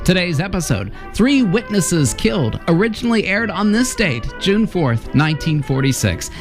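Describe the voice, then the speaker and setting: A man's voice: high-pitched, big pitch swings, moderate pace. A man reading scripted narration as a channel's announcer. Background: music.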